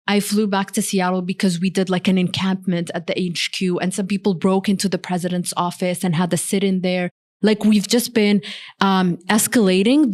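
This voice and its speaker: A young woman who grew up in Morocco speaks as a podcast guest. She talks earnestly and loosely, leaning on "like" and "um" between thoughts. Her voice sits at a middle pitch. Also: darkish tone. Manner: heavy use of "like" fillers, earnest. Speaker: guest, software engineer. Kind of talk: casual conversational interview.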